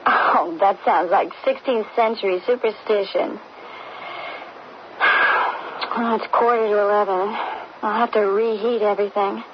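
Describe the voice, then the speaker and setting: An actress playing a worried, devoted young student daughter in an old-time radio drama, earnest and dramatic. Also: female voice, mid-range voice, fairly even intonation, a little slow, long pauses, natural voice. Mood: pondering. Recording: muffled, noisy old radio broadcast.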